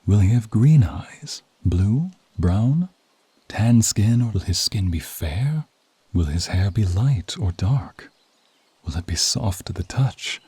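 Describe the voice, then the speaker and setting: A man narrating a scripted ASMR story in a dramatic, hushed manner that often drops into a whisper. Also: deep voice, breathy edge, no echo. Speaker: narrator of an audio roleplay.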